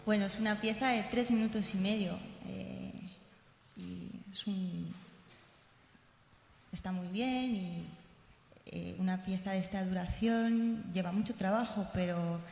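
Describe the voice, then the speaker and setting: Creaky-voiced woman speaking in an echoey hall. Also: medium pitch, a little mellow, a little hiss or hum. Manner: hesitant, halting, seeking agreement. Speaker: radio researcher and conference speaker. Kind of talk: informal spoken presentation. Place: large indoor conference hall. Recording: echoey hall, room microphone sound.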